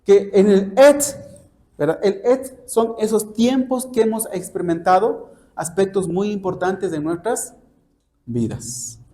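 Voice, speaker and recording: A man's voice: sits high, measured pace, warm tone. A man preaching an expository sermon like a lesson, didactic and often checking understanding with questions. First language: Spanish. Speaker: church preacher and Bible teacher.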